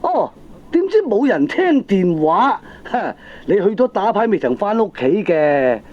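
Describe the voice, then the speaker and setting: Blustering, swooping Cantonese gambler husband. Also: male voice, high-pitched, big pitch swings, measured pace, a little mellow, heavily acted. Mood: indignant. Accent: Hong Kong Cantonese. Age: middle-aged. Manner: blustering, full of exasperated interjections. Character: feckless middle-aged gambling husband, defensive and excitable. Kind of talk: 1980s Hong Kong comedy radio drama acting. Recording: dull old cassette radio drama recording.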